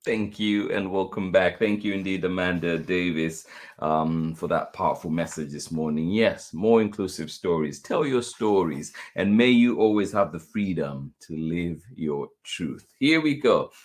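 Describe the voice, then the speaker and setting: Low-voiced man hosting a live story time. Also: measured pace. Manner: effusive, repeatedly thanking and greeting listeners. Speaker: host of a children's story time livestream. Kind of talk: live show hosting, greeting viewers.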